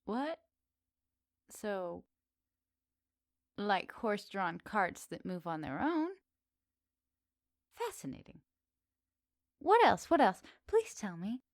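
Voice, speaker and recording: A woman plays a centuries-old witch of the woods in an intimate ASMR roleplay. She speaks slowly at a medium female pitch, coy and teasing, with expressive turns and soft pauses. The sound is dry, with very little room around her. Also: no room sound. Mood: curious, amazed.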